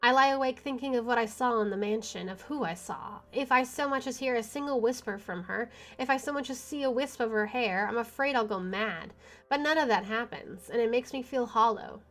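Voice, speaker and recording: A woman's voice: a little high, a little fast, a bit bright. A woman streamer reading visual novel narration aloud, expressively, with casual chatty asides. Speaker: video game streamer.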